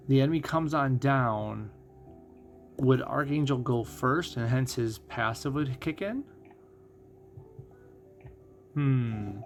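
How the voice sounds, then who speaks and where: A man giving casual live game commentary as a streamer, musing and thinking out loud as he goes. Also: mid-range voice, a little hiss or hum. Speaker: gaming streamer.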